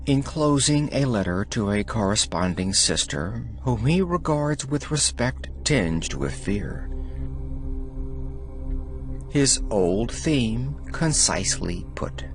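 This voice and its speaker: Male audiobook narrator, very deliberate pacing, measured and solemn, faint music underneath. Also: mid-range voice, big pitch swings. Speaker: audiobook reader. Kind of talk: scripted reading of devotional letters.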